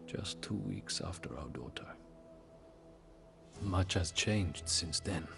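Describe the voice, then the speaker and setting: Slow, gravelly, solemn widowed king. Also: low voice, heavily acted. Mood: proud, resolute. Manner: grave, measured, pausing, often breathy at phrase ends. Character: solemn, grieving widowed medieval king telling his story. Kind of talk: dramatic first-person storybook game narration.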